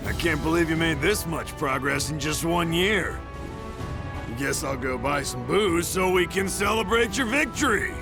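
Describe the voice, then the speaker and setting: A man's voice: on the high side, expressive, normal speed, music in the background. An actor playing an easygoing, hard-drinking martial arts master in a video game, grumbling wryly at his student. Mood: wry, dismissive. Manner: wry, grumbling.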